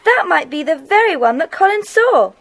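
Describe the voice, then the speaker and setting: An excitable, kind-hearted schoolgirl in a children's drama. She has a very high, animated voice and speaks earnestly, full of exclamation. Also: normal speed, full character voice. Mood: excited discovery. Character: tender-hearted, excitable schoolgirl club member. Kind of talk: children's audio drama acting.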